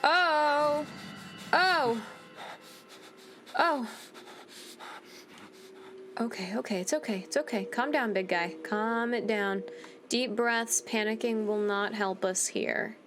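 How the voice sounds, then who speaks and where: A woman's voice: fairly high pitch, slow pace, pauses often, noisy background. A woman hosting a gaming video, giving informal playthrough commentary, reading out text and reacting aloud.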